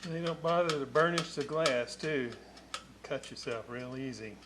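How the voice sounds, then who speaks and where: A man narrates hands-on restoration work casually, in short unhurried phrases muttered as he goes. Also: medium pitch. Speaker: radio restorer hosting a repair video. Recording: clearly noisy workshop recording with clicks.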